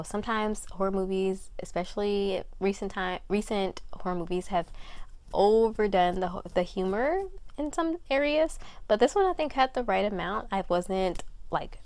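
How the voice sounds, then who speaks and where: Woman doing a chatty review, mid pitch, kinda hedgy, repeats stuff for emphasis, no echo. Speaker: film reviewer. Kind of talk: casual review chat.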